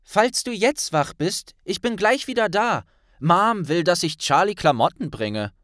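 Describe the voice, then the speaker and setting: A man's voice: high-pitched, very animated, measured pace, fairly bright tone, dry recording, studio quality, voice pushed up, heavily acted. A man voicing an affectionate, breezy teenage girl in a fantasy audiobook.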